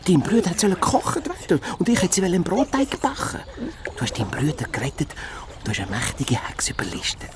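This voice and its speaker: An actor voicing a brave, stubborn hedgehog detective's assistant in a children's radio play, earnest and defiant, with a Swiss German accent. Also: male voice, a little high, huge pitch swings, fast, a crowd in the background. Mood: encouraging.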